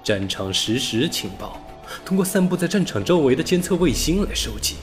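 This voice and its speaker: A man reading a science fiction novel aloud as an audiobook narrator, steady and storytelling, lifting his voice on key words. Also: fairly high pitch, normal speed, soft background music. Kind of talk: scripted sci-fi novel narration.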